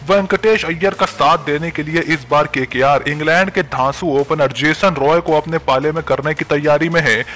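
A man reading a scripted sports news voice-over, slowing down to announce each name and figure. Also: fairly high pitch, fairly bright tone, slight background noise.

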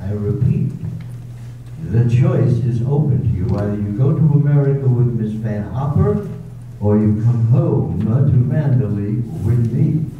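An amateur actor in a staged radio drama reading, playing a brusque, wealthy older gentleman who talks in a dry, teasing, curt way. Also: medium pitch, animated, a little slow, narrow, tinny audio, a little hiss or hum, lightly acted. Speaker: amateur readers theater actor. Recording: echoey hall, far from mic, phone-thin sound.

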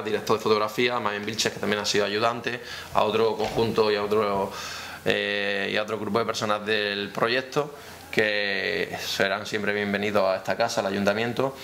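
Low-pitched man, noisy room. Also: fairly bright tone. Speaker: town councillor presenting at press conference. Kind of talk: semi-formal press conference speech. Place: indoor press room at town hall. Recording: clear background noise, room ambience.

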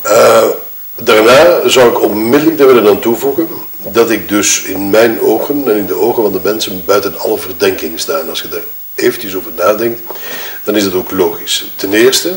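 Low-voiced Flemish husband, played by a male actor, blunt and halting, grieving and resentful, for an audio mystery game; noisy, clicky recording. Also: close to an everyday voice. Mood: defensive. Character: resentful, grieving husband giving a reluctant murder statement. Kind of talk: acted testimony in an audio murder-mystery game.

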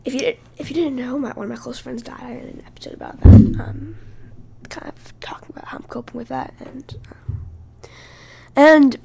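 A woman hosting her own podcast, speaking casually while hoarse from losing her voice, halting and often dropping to a breathy whisper. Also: high-pitched, mellow. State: hoarse, lost voice. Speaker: podcast host. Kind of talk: casual solo podcast talk.

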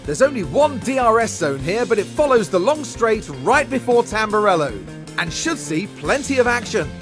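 A man's voice: high voice, sing-song, normal speed, a bit bright, soft background music. A man voicing a polished TV race commentator in a racing game, in scripted broadcast style. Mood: measured.

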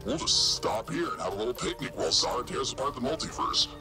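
A man's voice: medium pitch, sing-song, fast, creaky, loud music behind the voice. A man playing a mysterious, sardonic masked stranger in an audio drama.

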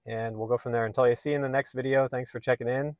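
A man in his thirties, a real estate agent, talking casually to the camera from inside a parked car, friendly and relaxed with plenty of "um"s. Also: mid-range voice, quick pace, dry recording, highs cut off. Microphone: phone or camera held at arm's length.

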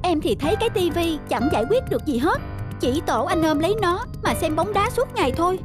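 Thrifty young wife, cartoon drama, high voice, persistent reasoning, background music. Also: female voice, sing-song, a little fast. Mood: annoyed. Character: practical, thrifty, long-suffering young wife. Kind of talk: animated cartoon voice acting.

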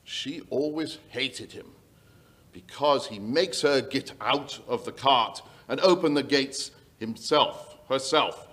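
A man's voice: mid-range voice, slow pace, a bit bright. A middle-aged man playing a worried, polite aristocratic landowner, telling his tale hesitantly in old-time radio style. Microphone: shared stage microphone.

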